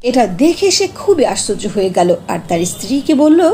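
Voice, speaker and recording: A woman's voice: sits high, big pitch swings, a little fast, noisy background. A woman narrating a scripted fairy tale as a storyteller.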